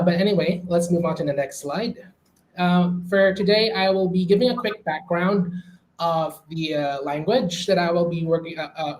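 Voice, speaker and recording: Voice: medium pitch, a little mellow, slight background noise, low-quality recording. Hesitant speaker. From Manila, Philippines. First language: Philippine Hybrid Hokkien. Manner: hesitant, many filler sounds. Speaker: academic presenter. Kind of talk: academic talk over slides.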